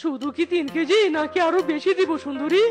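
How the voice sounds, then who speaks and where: A woman's voice: high-pitched, a little fast, lightly acted. A woman voicing a flirty, fretful male animal mango-seller in a cartoon, with singsong, exclamatory delivery. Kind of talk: cartoon voice acting. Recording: light cartoon music behind voice.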